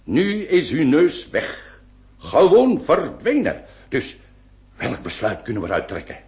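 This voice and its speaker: Pompous surgeon with wildly swooping intonation. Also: male voice, mid-range voice, huge pitch swings, darkish tone. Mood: matter-of-fact. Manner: pompous, formal, deliberate emphasis. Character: self-assured, vain 19th-century surgeon, soothing and pedantic. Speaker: radio drama actor. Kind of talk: theatrical Dutch-language radio play acting.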